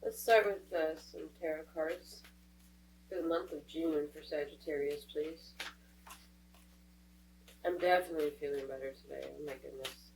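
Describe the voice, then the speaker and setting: Woman, congested, casual tarot reader, chatty, somewhat low voice, distant mic, faint background hum. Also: fairly even intonation, normal speed, gravelly, long pauses, darkish tone. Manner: chatty, thinking aloud with fillers. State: stuffed up from a cold.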